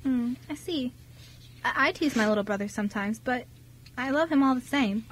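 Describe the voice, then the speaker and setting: A woman's voice: high voice, moderate pace, slight background noise, close to an everyday voice. A woman playing a chatty, eager fifteen-year-old girl in an amateur radio drama, friendly and giggly. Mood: sincere.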